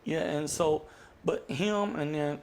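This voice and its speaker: A man's voice: fairly high pitch, takes it slowly, darkish tone, a little hiss or hum. A man who hosts a jam session and sings, talking casually and emphatically on a podcast. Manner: emphatic storyteller, quotes others in a raised voice. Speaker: jam session host and singer.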